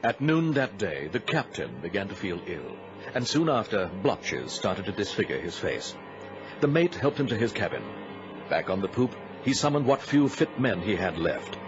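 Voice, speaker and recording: A man's voice: on the low side, sing-song, normal speed, music in the background. A man narrating a scripted old-time radio drama. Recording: muffled vintage radio recording.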